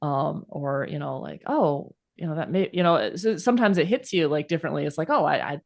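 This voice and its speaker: A woman author hosts a relaxed conversation. She talks with open enthusiasm and often drops in quick "yes" and "yeah" as she agrees. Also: low-pitched, creaky. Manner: warm, enthusiastic, full of affirming "yes" and "yeah". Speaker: author and show host. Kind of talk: casual interview chat.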